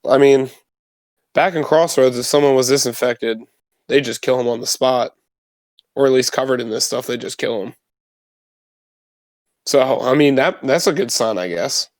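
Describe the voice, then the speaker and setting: A man's voice: medium pitch, normal speed, pauses often, no room sound. A man playing a tabletop roleplaying game, chatting casually and speaking for his character.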